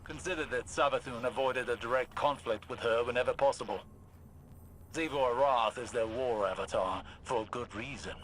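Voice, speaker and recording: Actor as a measured male commander, noisy background. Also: medium pitch, fairly bright tone, lightly acted. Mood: grave, reproving. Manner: formal, serious, deliberate. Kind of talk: video game dramatic acting.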